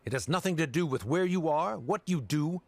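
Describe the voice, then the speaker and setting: A man's voice: fairly high pitch, fairly quick, gravelly, dry recording. A man playing a stern, haughty middle-aged official in a video game, formal, pompous and dismissive. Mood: stern, scornful.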